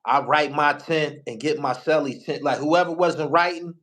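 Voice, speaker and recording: Higher-pitched New man, casual and emphatic. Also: fairly even intonation, moderate pace, a bit bright. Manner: emphatic, repetitive, profane. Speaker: podcast host. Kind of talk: casual street-slang talk.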